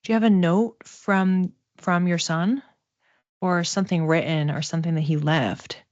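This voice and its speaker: A woman with a low voice gives an intuitive reading as a psychic medium. She speaks off the cuff, feeling her way haltingly from one phrase to the next. The sound is a little dull and roomy. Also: fairly even intonation, a little mellow. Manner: tentative, halting, searching for words. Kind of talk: intuitive reading, spoken off the cuff to a live chat.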